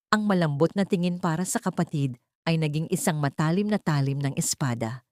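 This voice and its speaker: Low mellow woman narrating a drama. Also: no room sound, studio quality. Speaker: audiobook narrator.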